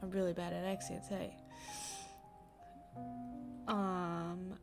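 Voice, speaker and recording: A woman's voice: on the low side, flat delivery, takes it slowly, gravelly, music in the background. A young woman with a New Zealand accent, sniffly, chatting casually and self-deprecatingly while reading a book aloud on a livestream at home.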